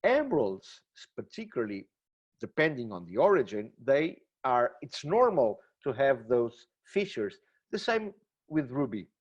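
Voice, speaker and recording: Enthusiastic Portuguese-accented lecturer, dull webinar audio. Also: male voice, mid-range voice, sing-song, unhurried, slightly rough. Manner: enthusiastic, exclamatory. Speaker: gemmology lecturer. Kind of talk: webinar presentation.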